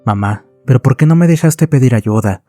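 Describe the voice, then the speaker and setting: Man as a worried teenage son, mellow-voiced. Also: mid-range voice, animated, normal speed, dry recording, faint music behind, close to an everyday voice. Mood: worried reproach. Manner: earnest, reproachful. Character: worried sixteen-year-old son scolding his mother.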